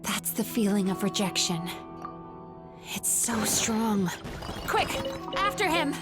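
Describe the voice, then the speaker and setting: A woman's voice: medium pitch, big pitch swings, slow pace, over loud music. A woman playing a young dream-eating healer in an anime-style game, earnest, resolute and reassuring as she explains. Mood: urgent.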